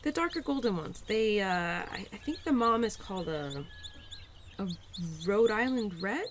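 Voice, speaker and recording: A woman voicing a cheerful, playful young spirit of Spring in an audio roleplay, gentle and affectionate with a giggly, easily flustered manner. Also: medium pitch, animated, unhurried, a little hiss or hum, natural voice. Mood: chatty, fond. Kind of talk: audio roleplay addressed to the listener.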